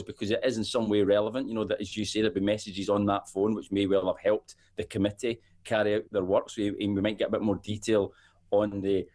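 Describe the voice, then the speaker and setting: A middle-aged Scottish man, a political editor, giving measured commentary and often repeating words as he thinks. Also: on the low side, a little mellow. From Scotland. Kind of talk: political commentary on talk radio.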